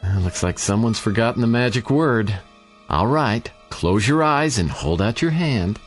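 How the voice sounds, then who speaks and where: An actor playing a wry, cocky private detective who narrates a noir radio drama in a deadpan, self-assured way. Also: male voice, fairly low pitch, unhurried. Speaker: detective narrator and lead character. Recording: echoey long reverb over clear music.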